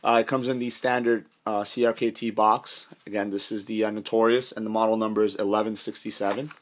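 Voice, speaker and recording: A man with a hoarse voice from a cold, casually reviewing a knife indoors with lots of fillers and restarts. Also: on the low side, little pitch movement, brisk, a little hiss or hum. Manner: rambling, many fillers and restarts. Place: indoors, carpeted room.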